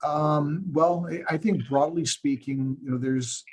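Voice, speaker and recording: A man's voice: fairly high pitch, unhurried, darkish tone. A man from Hawaii, a radiation oncologist in his forties, talking in a webinar discussion with many fillers and restarts.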